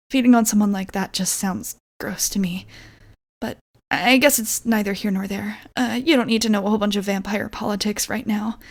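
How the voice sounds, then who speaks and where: A woman playing a shy, apologetic vampire roommate in an ASMR roleplay, speaking hesitantly and gently, often dropping toward a whisper. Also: fairly high pitch, vocal fry. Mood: awkward, explaining. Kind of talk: ASMR roleplay, softly acted monologue.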